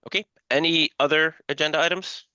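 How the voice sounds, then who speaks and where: A man's voice: a little high, fairly even intonation, sharp tone, clean tone, no room sound. Man with an allergy-scratchy voice. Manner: halting, many fillers. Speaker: meeting chair. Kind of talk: online working-group call. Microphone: video call. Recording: dull lo-fi call audio.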